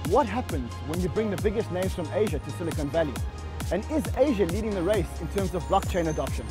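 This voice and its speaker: Brief: male TV host, brisk, higher voice, upbeat presenting, music behind. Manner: energetic, quick questions. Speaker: TV show host and interviewer. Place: outdoors at a conference.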